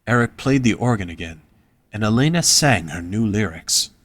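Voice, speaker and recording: Casting: male story narrator, lower register, dry recording, reading scripted prose. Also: animated, moderate pace, a bit bright, no room sound.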